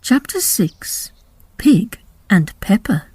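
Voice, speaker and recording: A woman's voice: mid-range voice, big pitch swings, takes it slowly, dark tone, breathy edge, no echo. A woman reading a children's story as scripted audiobook narration. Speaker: story reader.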